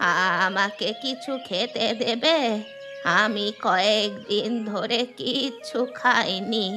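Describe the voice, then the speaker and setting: A frail, hungry old beggar woman, acted by a woman in a cartoon fairy tale. She pleads very slowly and wearily, drawing out her words. Faint music plays behind her. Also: on the high side, pushed and bright. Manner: slow, drawn-out pleading.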